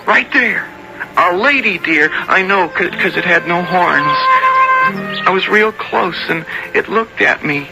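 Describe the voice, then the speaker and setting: A man playing an earnest, sensitive sixteen-year-old American boy who loves nature, speaking haltingly and plainly in a radio drama. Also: sits high, creaky, poor audio. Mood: eager, pleading. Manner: halting, repeats words, plainspoken and boyish. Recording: old radio recording, music behind.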